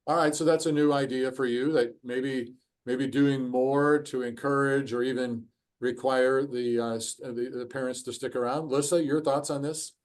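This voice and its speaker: A man's voice: medium pitch, steady tone, normal speed. A man moderating an online course discussion, hesitant, restating what others say with many fillers. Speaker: course facilitator.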